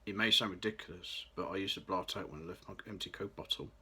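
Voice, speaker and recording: Low-voiced young man, bright tone. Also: fast, husky. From Birmingham, England. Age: late 20s. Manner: careful, read-aloud delivery with occasional restarts. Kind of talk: scripted personal vlog.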